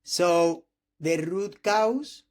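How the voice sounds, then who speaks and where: A man in his twenties from Spain, speaking English with a Spanish accent as a non-native speaker, hosting an interview with halting restarts and frequent throat clearing. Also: high-pitched. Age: 20s. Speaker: host interviewing a doctor. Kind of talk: casual interview talk.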